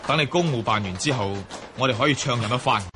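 A man's voice: mid-range voice, fast talker, background noise, natural voice. An actor playing a courteous, heroic young nobleman in a Cantonese radio drama, speaking formally and earnestly.

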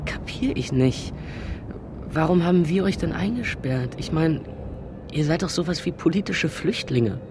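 A man's voice: on the high side, animated, moderate pace, lightly acted. An actor playing a curious, puzzled young man in a naturalistic radio drama, colloquial and inquisitive. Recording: noisy ambience behind.

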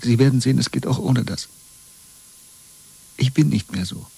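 A man's voice: mid-range voice, normal speed, gravelly, warm tone, breathy edge. A man playing a terrified prisoner breaking under torture in a 1970s radio play, agitated and desperately pleading. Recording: noisy old radio play recording.

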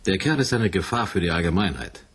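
An actor playing a brusque, domineering military major in a radio play, with a rough, husky voice and a curt, sarcastic manner. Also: male voice, low voice, lively intonation, brisk. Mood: sarcastic, unyielding. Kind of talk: 1980s German radio play acting.

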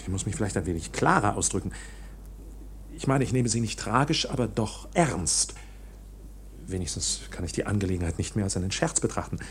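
Man acting agitated, lively-voiced accused clerk. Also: lowish voice, a little fast, slight background noise, close to an everyday voice. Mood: nervous, self-justifying. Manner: hesitant, over-explaining, agitated. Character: bewildered, indignant bank clerk protesting his arrest. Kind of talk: German radio drama acting.

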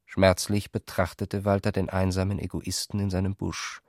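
A man with a lowish voice reads literary narration for a radio play. He works from a script as a storyteller, recorded very dry, with no audible room. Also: completely flat delivery, moderate pace, a little mellow, no room sound.

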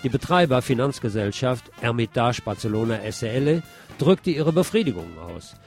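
A man's voice: mid-range voice, lively intonation, normal speed. Man reading radio news over music. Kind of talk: scripted local news reading in a radio show.

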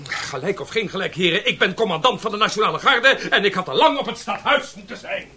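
An actor playing an impatient, blustering National Guard commander in a radio drama, commanding and dismissive in an urgent manner. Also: male voice, high voice, animated, fairly quick, bright, forceful tone, breathy edge, heavily acted.

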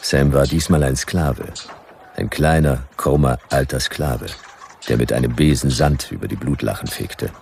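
A man's voice: a bass voice, normal speed, gravelly, darkish tone, a little hiss or hum. A man playing a hard-boiled private detective, narrating a radio play in dry, clipped first-person sentences. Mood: dry, laconic.

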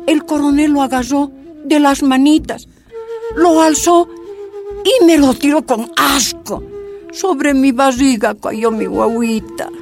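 Actress, first-person narration, slightly high, quiet. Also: female voice, fairly even intonation, slow, faint music behind. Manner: quiet recounting. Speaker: narrator of her own story.

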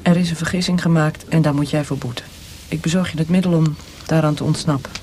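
An actor playing a cold, insistent military interrogator in a radio play, with a hushed, breathy, measured voice. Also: male voice, fairly high pitch, fairly even intonation, a little hiss or hum, natural voice. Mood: persuasive. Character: cold, pressuring military interrogator offering a mercy death.

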